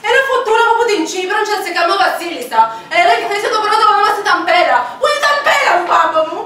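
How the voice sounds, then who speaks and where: A woman's voice: very high voice, expressive, sharp tone, very echoey, far from the mic, full character voice. A woman playing a whiny, theatrical wife with a Cypriot Greek accent who dreams of a singing career, in a comedy sketch. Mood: complaining. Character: whiny Cypriot wife, aspiring nightclub singer.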